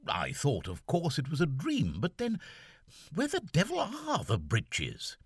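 A man voicing an excitable young army lieutenant who keeps exclaiming in exasperation, in an audiobook. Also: on the high side, measured pace, breathy edge, dry recording, clean studio sound, pitched above the performer's own voice, heavily acted. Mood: exasperated. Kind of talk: audiobook character voice.

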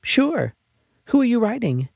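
A man doing a bright eager little girl. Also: very high-pitched, very animated, measured pace, creaky, no echo, pitched above the performer's own voice, heavily acted. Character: young girl, eager and helpful.

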